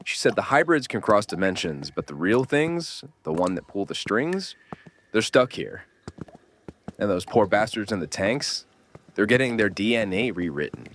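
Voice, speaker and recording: An actor playing a gruff, weary survivor with a wry, streetwise way of explaining things, in a horror audio drama. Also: male voice, on the low side, big pitch swings, moderate pace, fairly bright tone, slight background noise. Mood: grim explaining. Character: gruff, weary male survivor of a nightmare dimension.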